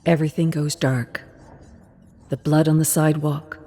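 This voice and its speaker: A woman narrating a dramatic scripted story in a measured, hushed and intense manner. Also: low-pitched, level tone, soft background music.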